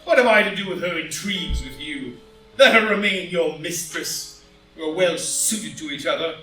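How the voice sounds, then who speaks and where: A man's voice: fairly high pitch, expressive, normal speed, bright, forceful tone. A man playing a proud, tormented statesman full of shame, melodramatic and declamatory in a staged play reading. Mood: furious, scornful. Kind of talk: stage play read aloud as audio drama. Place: indoor room, live in-person reading. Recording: roomy live reading, distant mic, music.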